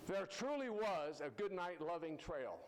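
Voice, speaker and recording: Male live storyteller, raised pitch, emphatic folksy delivery, frequent self-corrections, clear background noise. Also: sing-song, unhurried. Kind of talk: live storytelling with audience quiz. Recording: noisy live event recording.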